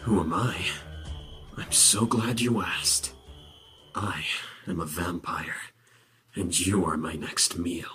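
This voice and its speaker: A man playing a sadistic, theatrical vampire in an audio roleplay, savoring his words with hushed menace. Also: deep voice, steady tone, takes it slowly, long pauses. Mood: menacing. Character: predatory gloating male vampire, sadistic and theatrical. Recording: loud music over the voice. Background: music.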